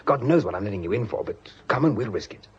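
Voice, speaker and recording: A man's voice: on the low side, animated, fast, lightly acted. An actor playing an urgent, commanding man on the run in a British radio drama, clipped and insistent. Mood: tense, secretive. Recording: muffled radio recording, slight noise.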